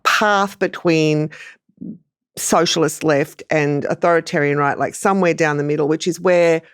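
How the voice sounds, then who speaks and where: A woman's voice: deep voice, steady tone, moderate pace, smooth. An Australian woman giving informal, opinionated political analysis in a conversational way, often qualifying her points as a podcast panellist. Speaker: journalist panellist on a news podcast.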